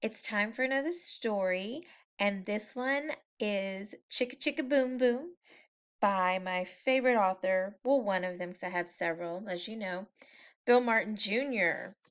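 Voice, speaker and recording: A woman preschool teacher reading a picture book aloud to young children in a playful, expressive, sing-song manner. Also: medium pitch, a little slow. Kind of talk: animated children's story read-aloud.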